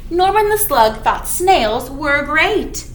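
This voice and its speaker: A woman's voice: high-pitched, very animated, takes it slowly. A woman leading storytime for young children, reading aloud in a playful, exaggerated way with chatty asides to her little listeners. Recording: roomy echo with background noise.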